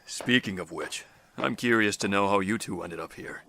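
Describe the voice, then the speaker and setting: A man playing a composed, courteous apocalypse survivor in an audio drama, with gravelly voice and measured formal phrasing. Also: lowish voice, sharp tone, slight background noise. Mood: calm.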